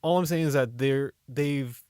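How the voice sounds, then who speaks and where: Male podcast co-host, average pitch, dry close sound, casual and opinionated. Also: a little slow, darkish tone, clean tone, dry recording. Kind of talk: casual movie discussion.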